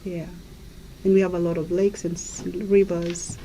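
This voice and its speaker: A woman from Kenya, a life coach, telling her story as a guest in a radio interview in a gentle, storytelling way. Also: fairly low pitch, level tone, moderate pace, a little hiss or hum, rough recording.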